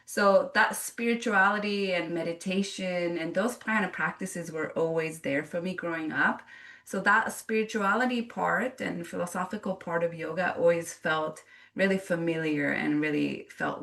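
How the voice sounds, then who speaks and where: Low gravelly woman, earnest storyteller. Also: fairly bright tone, far from the mic. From Japan. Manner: earnest and reflective, with frequent restarts. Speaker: yoga teacher guest. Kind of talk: casual interview storytelling.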